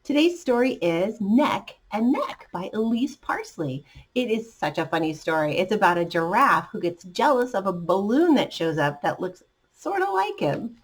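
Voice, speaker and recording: A woman's voice: mid-range voice, moderate pace, husky. A woman hosting children's storytime, reading a picture book expressively and adding asides to young listeners. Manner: animated, addressing young listeners.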